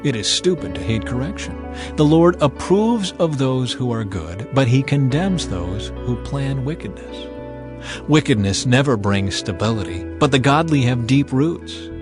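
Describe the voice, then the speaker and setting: Guy reading scripture dramatically, measured and emphatic, pitch moves around a lot, music behind him. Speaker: scripture narrator. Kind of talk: dramatized audio Bible narration. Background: background music.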